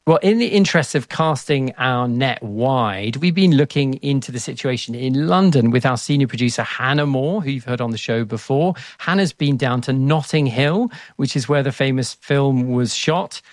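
A man presents in a very dry studio sound with a middling pitch. He hosts the show, handing over to a report in a semi-scripted introduction. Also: moderate pace, hardly any pauses, dry recording. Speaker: programme host.

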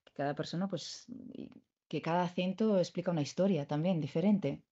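A woman interviewer in a conversational interview, talking in a reflective way and often pausing to search for words. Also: on the low side, dark tone, dull audio.

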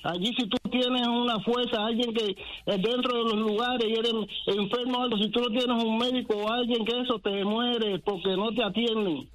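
A man's voice: extremely high pitch, fairly even intonation, moderate pace, fairly bright tone, soft background music, rough recording. A man with a Cuban accent giving an impassioned opinion as a radio caller, emphatic and piling up repetitions. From Cuba. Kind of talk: impassioned opinion on a call-in show.

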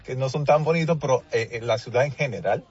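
A man's voice: medium pitch, quick pace, breathy edge. Dominican man, lo-fi radio guest. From Dominican Republic. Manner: warm, frequent restarts and "así es". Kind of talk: casual phone interview. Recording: dull lo-fi radio audio, faint music.

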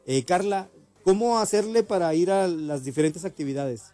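Mellow radio host. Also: male voice, high-pitched, normal speed. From Ciudad Juárez, Mexico. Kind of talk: radio interview.

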